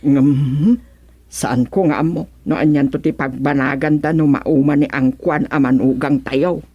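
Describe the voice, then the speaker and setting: A woman's voice: low voice, steady tone, unhurried, slightly rough, no echo, muffled recording. A woman playing a stern, concerned mother who admonishes, in an Ilocano radio serial drama. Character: stern, concerned mother scolding her grown child.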